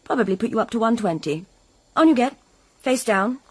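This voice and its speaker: An actress playing a brisk, reassuring young female clinic therapist in a radio drama, matter-of-fact and soothing. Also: on the high side, animated, slightly rough, no echo.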